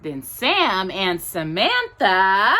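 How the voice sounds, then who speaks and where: A woman reading a children's storybook aloud as a storytime host, in an expressive, drawn-out, playful manner. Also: mid-range voice. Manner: animated, drawn-out delivery with playful asides to a child.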